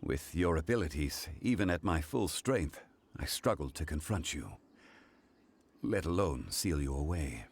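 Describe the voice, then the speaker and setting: A calm, ageless god. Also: male voice, very deep voice, breathy edge, full character voice. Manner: calm, measured, dignified. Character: composed ageless male god in human form. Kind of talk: game voice acting. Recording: game audio with faint music.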